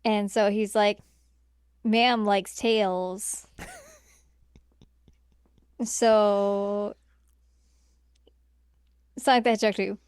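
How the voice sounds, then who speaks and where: A woman's voice: mid-range voice, steady tone, very slow, pauses often, darkish tone, smooth, dry recording. A woman co-hosting a podcast, chatting casually and informally about movies, often hesitating as she thinks aloud. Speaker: podcast co-host.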